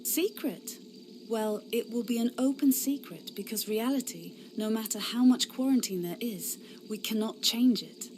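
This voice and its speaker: A woman's voice: fairly high pitch, normal speed, darkish tone, lightly acted. Woman voicing young lady knight in a fantasy game, earnest n defiant, bit of background noise. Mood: protesting. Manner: impassioned, defiant. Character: earnest, idealistic young female inquisitor knight. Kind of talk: fantasy game cutscene acting.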